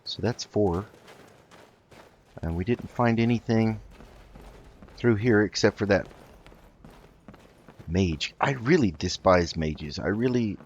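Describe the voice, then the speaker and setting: A man doing casual game commentary, thinking aloud in an unhurried, musing way as he roleplays. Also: on the low side, muffled recording. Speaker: gaming host.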